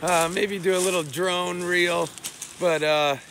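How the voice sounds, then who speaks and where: A young man from Cape Cod, hoarse from a cold, chatting casually as a vlog host into a handheld camera and talking to his dog. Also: high-pitched, fairly even intonation, slow pace. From Cape Cod, Massachusetts. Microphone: handheld camera at arm's length. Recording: music playing behind the voice.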